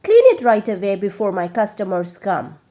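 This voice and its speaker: A woman voicing a rich, bullying male merchant who scolds and makes loud demands in a storybook reading. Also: medium pitch, lively intonation, moderate pace, lightly acted. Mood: angry, scolding.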